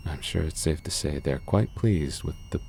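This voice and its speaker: Very deep, gravelly, calm underworld doctor played by a man. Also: a little hiss or hum. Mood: probing, guarded. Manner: gentle, measured, formal, reassuring. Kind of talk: ASMR roleplay, soothing scripted monologue.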